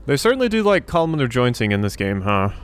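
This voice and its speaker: A man commenting casually on a video game as he plays. His voice is mid-pitched, and he thinks aloud, rambling and repeating phrases. Faint game ambience sits behind him. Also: sing-song, fast, slight background noise. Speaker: gaming YouTuber, let's player.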